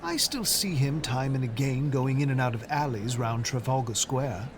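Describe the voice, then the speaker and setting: A man's voice: medium pitch, animated, moderate pace, a crowd in the background, natural voice. An actor playing an eager, polite young Englishman who talks in a rambling, enthusiastic way. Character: eager, polite young man, opera fan.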